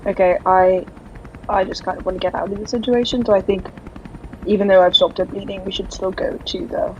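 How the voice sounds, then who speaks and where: Tabletop player, woman: casual chat, average pitch, slight background noise. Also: fairly even intonation, brisk, a little mellow, dull audio.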